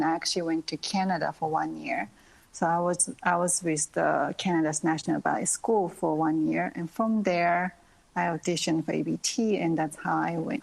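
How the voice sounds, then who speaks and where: Gravelly low-voiced Japanese woman. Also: rough recording. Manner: soft-spoken, laughs often, many pauses. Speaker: guest ballet dancer. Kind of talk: interview, answering questions.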